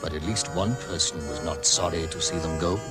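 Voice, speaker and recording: A man narrating a radio drama in a measured storybook style. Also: low-pitched, over loud music.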